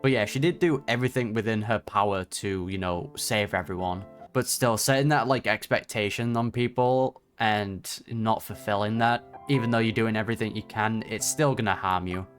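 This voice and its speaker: A man's voice: lowish voice, moderate pace, few pauses, soft background music. A man giving casual reaction commentary on a video game, conversational and sympathetic in manner. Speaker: gaming reaction streamer.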